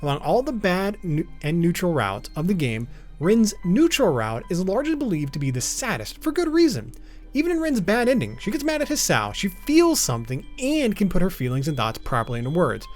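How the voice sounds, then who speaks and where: High-voiced, animated man narrating. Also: brisk, faint music behind. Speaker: video essay narrator. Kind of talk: explainer video narration.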